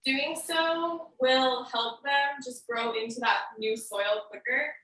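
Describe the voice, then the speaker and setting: Bright-voiced woman presenter in an echoey room. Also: high-pitched, takes it slowly. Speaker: student giving a demonstration speech. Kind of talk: prepared instructional speech, casual delivery. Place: indoor room on a recorded video meeting. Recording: roomy, echoey, distant microphone.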